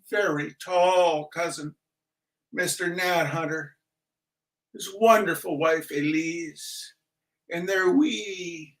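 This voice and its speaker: A man's voice: high-pitched, gravelly, bright, forceful tone. A man hosting a livestream in a casual, rambling way, with theatrical, mock-grandiose flourishes. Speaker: livestream host and reader. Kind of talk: casual rambling livestream introduction with shout-outs.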